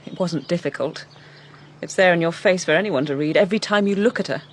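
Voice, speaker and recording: An actress playing a proud, wounded young heiress in a British radio drama, well-spoken and controlled, with an English accent. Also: female voice, lowish voice, fairly quick, slight background noise, close to an everyday voice. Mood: hurt, controlled. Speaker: actor.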